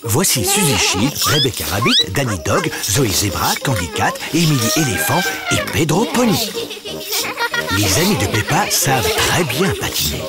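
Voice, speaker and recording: A man narrating a children's cartoon as a friendly storyteller, in simple, clearly told sentences for small children. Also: medium pitch, wildly swooping pitch, normal speed, over loud music.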